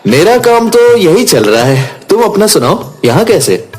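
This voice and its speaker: Lively kind young cook played by a man. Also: sits high, unhurried, soft background music. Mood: friendly. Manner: reassuring, friendly. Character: kind, confident young cook turned restaurant owner. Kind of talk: animated moral story acting.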